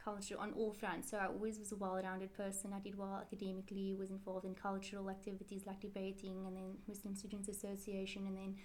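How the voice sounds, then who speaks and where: A woman's voice: mid-range voice, monotone, fast talker, hardly any pauses, a little mellow, slight background noise. A woman, answering interview questions in a chatty, rambling way and correcting herself often. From South Africa. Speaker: interview guest, Quran teacher.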